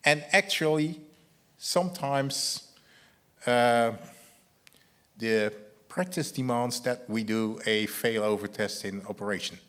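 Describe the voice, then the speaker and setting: A man with a Dutch accent giving a technical talk and answering questions as a conference speaker, halting and often repeating his words. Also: medium pitch, fairly bright tone. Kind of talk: technical presentation and audience Q&A.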